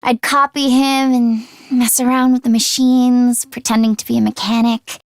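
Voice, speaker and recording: A woman voicing an earnest, anxious teenage girl mechanic in an anime-style video game, expressive and shifting between timid and sassy. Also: a little high, moderate pace, no echo, close to an everyday voice. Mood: soft, affectionate. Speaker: voice actress.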